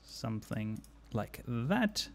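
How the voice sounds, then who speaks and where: A man's voice: medium pitch, big pitch swings, slow. A man from Argentina streaming a city-building game live, thinking aloud with many fillers and answering chat questions as he plays. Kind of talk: live stream commentary while playing.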